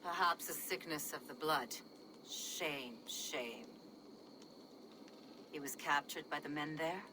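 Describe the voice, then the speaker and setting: A woman playing a calm, shrewd ally and guide in a video game, measured and grave in manner. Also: low-pitched, background noise. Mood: troubled. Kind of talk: dramatic video game acting.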